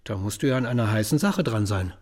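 Middle-aged boss in a radio play, dark husky voice, put out and slippery. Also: male voice, on the low side, lively intonation, fast talker. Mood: indignant, suspicious. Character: indignant, evasive middle-aged male boss. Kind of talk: German radio drama acting.

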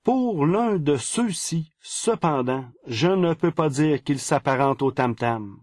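A man's voice: on the high side, expressive, slow, a little mellow, no room sound, studio quality. A man with a Quebec French accent presenting a scripted news segment on a podcast.

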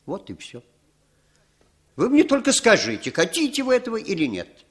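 An actor playing an insistent, smooth-talking man who coaxes and persuades in a 1960s radio play. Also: high voice, huge pitch swings, normal speed, heavily acted. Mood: coaxing. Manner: coaxing, wheedling, emphatic.

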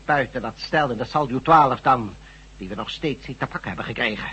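A man acting in a radio drama as a smug, menacing god posing as a doctor, deliberate and condescending. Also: medium pitch, fairly bright tone, slightly rough, muffled recording. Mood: smug, gloating.

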